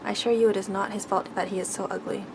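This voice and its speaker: A tender-hearted young storybook heroine, acted out by a woman, soft and earnest in her speech. Her voice carries some fry over a noisy recording. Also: mid-range voice, level tone, rapid-fire, close to an everyday voice. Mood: earnest, protective.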